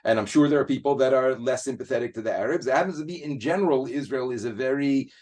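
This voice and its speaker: Guy being interviewed, halting and earnest, lots of false starts, ordinary pitch. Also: a little mellow. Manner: hesitant, many restarts and fillers, earnest. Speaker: guest. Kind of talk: casual conversational interview.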